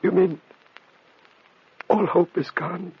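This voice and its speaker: An actor playing an anguished, devoted husband in a gothic radio drama, tender and emotional. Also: male voice, high voice, fairly even intonation, takes it slowly, slightly rough, exaggerated voice. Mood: anguished awe. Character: anguished, devoted husband at his dying wife's bedside. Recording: dull radio recording with faint noise.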